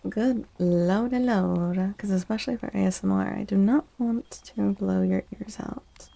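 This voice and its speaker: A soft-spoken woman hosting an ASMR livestream, chatting casually and unhurriedly with viewers, close to the microphone. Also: mid-range voice, normal speed, vocal fry. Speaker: live streamer hosting ASMR tarot stream.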